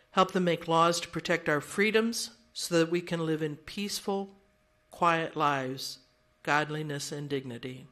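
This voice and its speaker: Older woman, meeting chair, bright clear tone, orderly practical delivery, handheld mic, large room. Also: deep voice. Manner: orderly and practical, with light self-deprecating humor. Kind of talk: meeting announcements and opening prayer. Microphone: handheld microphone.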